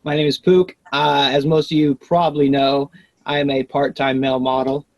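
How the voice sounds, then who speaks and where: A man introducing himself over a video call with joking, deadpan boasts. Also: medium pitch, normal speed, dry recording, low-quality recording. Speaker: game player.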